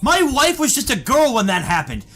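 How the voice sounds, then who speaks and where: An actor playing an indignant, protective husband held captive in an old-time radio thriller. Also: male voice, extremely high pitch, very animated, moderate pace, bright, forceful tone, heavily acted.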